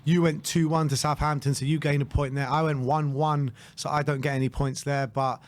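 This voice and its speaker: A man with a hoarse voice, leading a casual football chat as co-host with enthusiasm. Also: on the high side, flat delivery, fairly quick. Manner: enthusiastic, leads the segments.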